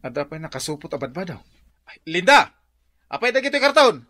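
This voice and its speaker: An actor playing a lazy, whining husband in an Ilocano comedy radio drama, with an Ilocano accent. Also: male voice, high voice, sing-song, brisk, pushed and bright, slight background noise, exaggerated voice. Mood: lazy, complaining. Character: lazy, jobless husband, whining and pleading with wife.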